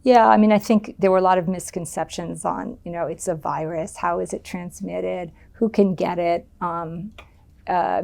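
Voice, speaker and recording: Interview subject: middle-aged woman from the US, low gravelly voice, halting and thoughtful. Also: a little mellow. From United States. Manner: thoughtful, many ums and restarts. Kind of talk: oral history interview.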